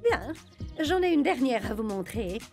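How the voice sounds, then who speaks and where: A woman's voice: on the high side, sing-song, a little slow, vocal fry, a little mellow, soft background music, exaggerated voice. A woman playing a gushing, persuasive luxury estate agent in a cartoon, with theatrical sales patter. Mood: showy, gushing. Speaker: voice actor in a children's animated series.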